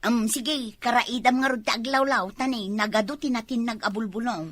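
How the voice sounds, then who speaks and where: A woman's voice: on the high side, fairly even intonation, normal speed, lightly acted. A woman with an Ilocano accent playing a bossy, nagging village wife in an amateur comedy drama. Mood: bossy, pleased. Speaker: actor in comedy skit.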